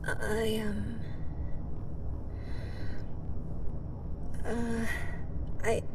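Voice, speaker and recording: A woman playing a weary, bitter immortal warrior in an emotional dark fantasy audio roleplay, speaking haltingly and dramatically and often dropping into breathy whispers. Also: fairly high pitch, completely flat delivery, far from the mic, background noise. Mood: vulnerable, fearful. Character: weary immortal woman warrior, bitter, desperate, tender toward her enemy.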